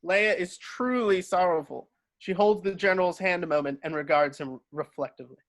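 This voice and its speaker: A man's voice: high voice, moderate pace, fairly bright tone. A man reading screenplay stage directions as narrator of a table read.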